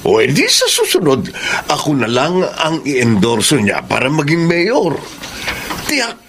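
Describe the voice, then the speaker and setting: Scheming middle-aged politician, Filipino accent, gravelly, swooping intonation, smug coaxing, radio drama. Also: male voice, on the high side, huge pitch swings, a little slow, a bit bright, slight background noise, full character voice. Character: scheming older male politician, smug father figure.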